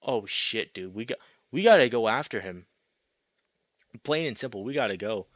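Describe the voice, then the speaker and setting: A man's voice: lowish voice, lively intonation, moderate pace, a bit bright, dry recording, highs cut off. A man narrating a visual novel in a dramatic, immersed storytelling way, as a player reading aloud.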